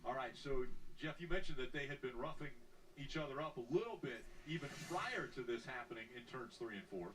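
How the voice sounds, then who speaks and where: A man's voice: mid-range voice, lively intonation, brisk. A man calling a race as the play-by-play announcer, with a polished broadcast delivery. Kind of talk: broadcast commentary. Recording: distant television audio with music underneath.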